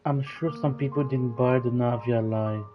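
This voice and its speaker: A man giving casual, halting reaction commentary over a game, often repeating his phrases. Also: medium pitch, fairly even intonation, faint music behind. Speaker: gaming video creator. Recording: dull, lo-fi microphone.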